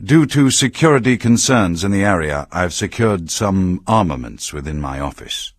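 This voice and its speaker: A low, husky middle-aged male doctor dictates a game audio log. He is measured, formal and grave, recorded dry and close. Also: lively intonation, no echo. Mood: grave, clinical. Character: grave, clinical male medical supervisor dictating reports. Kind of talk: video game voice acting, formal dictated report.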